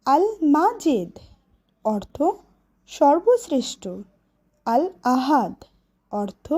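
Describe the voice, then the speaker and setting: Female cartoon schoolteacher, acted by a woman: high, lively intonation, deliberate classroom delivery. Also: full character voice. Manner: deliberate, clearly instructive delivery. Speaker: teacher. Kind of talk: educational cartoon lesson, recitation and questioning.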